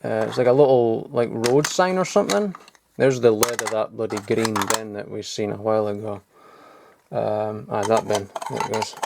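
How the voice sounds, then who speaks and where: A man's voice: on the low side, steady tone, moderate pace, vocal fry, noisy background. A man rambling casually as he digs through old toys, mumbling asides and swearing now and then. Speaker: toy collector vlogger. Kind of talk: casual off-the-cuff commentary while sorting toys. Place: cluttered loft.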